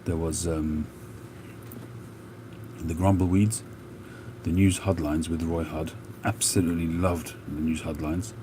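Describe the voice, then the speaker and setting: A very deep-voiced man with a mixed Cockney and North London accent talks at an easy, meandering pace on a live stream. Traffic and street noise can be clearly heard behind his voice. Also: level tone. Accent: London, Cockney with a North London mix. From England. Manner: slow, unhurried, with many filler pauses. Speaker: livestream host. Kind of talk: relaxed live chat.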